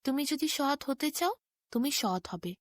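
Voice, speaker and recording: A righteous young storybook prince, voiced by a woman, calm and wise. The speech is earnest and measured, fairly high and very dry. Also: no echo. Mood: earnest, advising. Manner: earnest, measured moral counsel.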